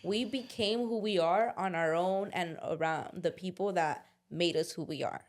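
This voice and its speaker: A woman's voice: lowish voice, normal speed. Candid young woman podcast co-host. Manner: casual, slangy, confessional, drops into quoted inner voices. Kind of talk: candid casual chat.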